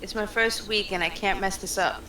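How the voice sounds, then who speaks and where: Woman reading a bright-voiced rookie cop. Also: medium pitch, fairly even intonation, moderate pace, close to an everyday voice. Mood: casual. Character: rookie male police officer, mid-twenties, casual, pliable. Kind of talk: screenplay table reading. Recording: faint room noise.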